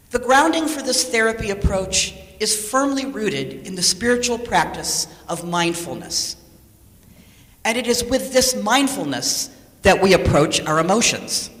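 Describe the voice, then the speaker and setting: A woman's voice: medium pitch, a bit bright. Woman reading a sermon, echoey hall. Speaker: lay speaker reading a sermon. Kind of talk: sermon read from a script. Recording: echoey hall with long reverb.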